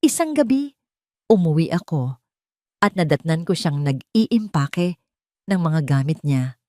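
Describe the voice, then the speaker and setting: A woman with a Filipino accent voicing a heartbroken, gentle young man who confides his past in an audio romance story. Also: low-pitched, big pitch swings, unhurried, dry recording, clean studio sound. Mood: wistful. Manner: confessional, code-switching into English.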